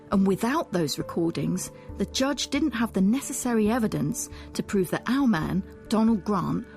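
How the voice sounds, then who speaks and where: A woman's voice: medium pitch, creaky, darkish tone. Woman narrating a documentary over music. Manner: measured, questioning, explanatory. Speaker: presenter, history professor.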